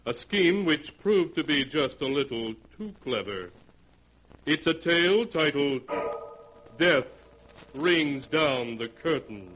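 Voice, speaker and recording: A man narrating a scripted 1940s radio horror anthology in a theatrical, ominous manner with deliberate phrasing. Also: on the high side, lively intonation, a bit bright. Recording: noisy vintage 1940s radio recording.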